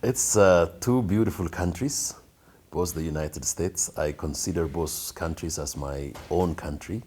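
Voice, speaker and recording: A middle-aged man from Ethiopia, a pastor and school founder, giving earnest, measured answers with frequent fillers in an interview. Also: sits low, a little mellow, slight background noise.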